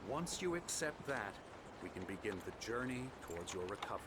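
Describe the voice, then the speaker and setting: An actor playing a smug, manipulative middle-aged psychiatrist in a video game, with a pompous, patronizing manner. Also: male voice, lowish voice, big pitch swings, a little fast. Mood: smug, grandiose. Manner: pompous, patronizing, smooth-talking. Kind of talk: dramatic video game dialogue. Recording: loud ambient noise behind.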